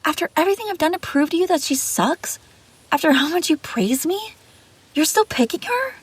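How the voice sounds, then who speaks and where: A woman's voice: very high-pitched, expressive, brisk, no echo. A woman playing an obsessive, scheming young babysitter in an intimate audio roleplay, pleading and defensive. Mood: disbelieving, desperate. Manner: pleading, defensive, hesitant then insistent. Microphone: close, dry microphone.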